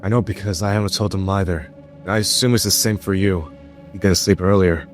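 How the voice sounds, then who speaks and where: A man's voice: low-pitched, steady tone, brisk, soft background music, lightly acted. A young man voicing a sarcastic, blunt and guarded character in a webtoon fan dub. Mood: blunt, probing.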